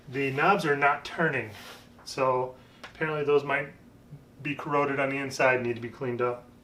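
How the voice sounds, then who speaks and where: A man giving a casual, unscripted gear review, thinking aloud in a halting way as a vlogger. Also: a little high, a bit bright. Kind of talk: casual unscripted product talk. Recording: roomy echo, distant microphone.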